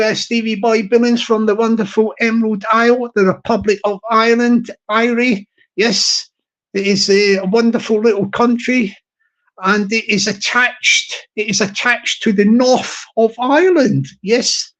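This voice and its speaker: A man's voice: extremely high pitch, fairly bright tone. A hearty man rambles through a casual live stream, greeting viewers as they come into the chat. He keeps tripping over his words and doubling back on them. Little chuckles break into his talk between phrases. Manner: hearty, upbeat.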